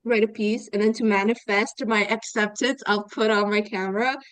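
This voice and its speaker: A woman's voice: mid-range voice, fairly even intonation, normal speed, a bit bright, no room sound. A young woman co-hosting a podcast, chatting casually and giving listeners friendly advice. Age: young adult. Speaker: podcast co-host.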